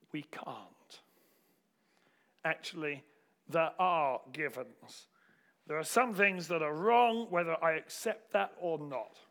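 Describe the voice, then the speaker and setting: A man delivering a sermon with anecdotes, in deliberate, rhetorical phrasing. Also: on the high side, fairly bright tone. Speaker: preacher.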